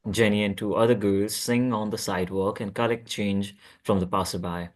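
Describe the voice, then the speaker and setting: Male stage-direction reader, lower register, halting table-read delivery with repeated words. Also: fairly even intonation. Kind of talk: scripted narration at a table read.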